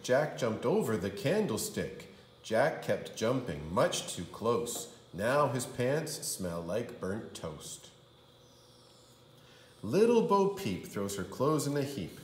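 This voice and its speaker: Male kindergarten teacher for children's rhyme read-aloud: theatrical and sing-song, swooping pitch, recorded in a roomy space. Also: a little hiss or hum. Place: indoor room. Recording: roomy indoor echo.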